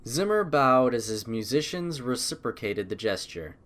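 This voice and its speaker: A man's voice: medium pitch, animated, normal speed, a bit bright. A man narrating a story as a scripted audiobook.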